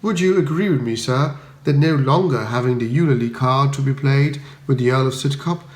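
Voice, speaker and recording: An unflappable English valet from a comic storybook, voiced by a male reader. He is formal, deferential and measured, with quiet shrewdness. The recording sounds roomy. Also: medium pitch, lightly acted. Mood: composed, tactful.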